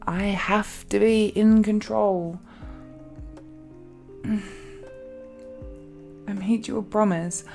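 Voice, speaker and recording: Woman voicing a dragon-blooded fiancée, romantic audio roleplay, possessive yet adoring, very slow halting delivery, soft dark tone, emotional sighs. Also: mid-range voice. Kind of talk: intimate romantic audio roleplay addressed to the listener.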